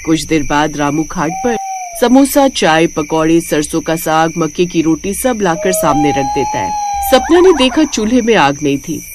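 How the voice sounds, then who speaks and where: A woman's voice: fairly low pitch, wildly swooping pitch, unhurried, background noise. A woman narrating a scripted cartoon story as its storyteller.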